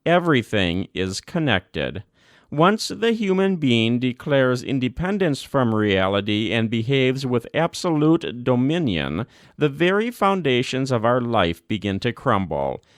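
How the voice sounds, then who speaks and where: A man reading a formal religious text as scripted narration, with measured, deliberate phrasing and emphatic pauses. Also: mid-range voice, very animated, no echo, clean studio sound. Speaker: volunteer audiobook narrator.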